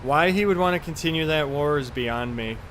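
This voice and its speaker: A man's voice: on the high side, normal speed, a bit bright, slight background noise. A man live streaming a flight simulator in casual chat, rambling in an offhand, mildly profane way.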